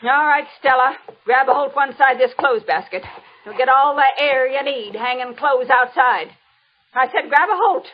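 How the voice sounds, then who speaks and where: A woman's voice: high-pitched, moderate pace. An actress playing a harsh, bitter older washerwoman in an old radio drama, mocking and bullying in rustic, folksy speech. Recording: noisy, dull old radio recording.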